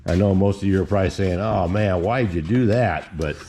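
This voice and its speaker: A man's voice: low voice, fairly quick, dark tone, a little hiss or hum. A sixty-year-old man from Indiana chatting casually in his garage vlog, rambling and folksy, with many restarts. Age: 60s. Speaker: garage vlogger. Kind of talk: casual how-to chat.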